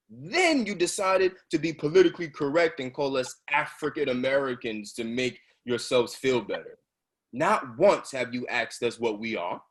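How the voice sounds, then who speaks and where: Man acting a fervent streetwise activist, husky voice. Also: mid-range voice. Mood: accusing, fervent. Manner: oratorical, impassioned, emphatic. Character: fervent streetwise male activist preaching to subway riders. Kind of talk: readers theatre stage monologue.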